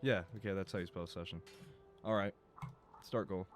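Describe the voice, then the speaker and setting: A man streaming a game live, talking casually and rambling, his voice going a bit rough as he loses it. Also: deep voice, fairly even intonation, normal speed, faint music behind. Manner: rambling, chatty, swears and laughs. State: losing his voice.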